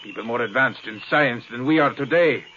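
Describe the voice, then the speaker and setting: An actor playing a cold, secretive, proud space explorer in 1950s radio drama, clipped and commanding. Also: male voice, mid-range voice, a little fast, sharp tone, lightly acted. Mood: guarded, evasive. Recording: noisy, muffled 1950s radio broadcast.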